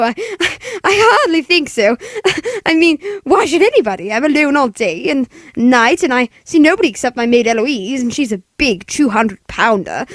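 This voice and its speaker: High-strung, fretful invalid wife. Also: female voice, no room sound, studio quality. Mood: flustered. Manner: fretful, melodramatic, stammering. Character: anxious, demanding, self-pitying bedridden invalid wife. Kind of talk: 1940s radio play acting.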